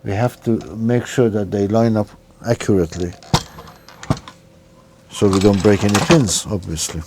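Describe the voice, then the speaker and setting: A man with a fairly low voice gives an informal, hands-on repair demonstration. He talks carefully through each step, partly as if to himself. The recording has faint noise and clicking behind him. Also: fairly even intonation, moderate pace, a little mellow. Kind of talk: informal hands-on tutorial narration.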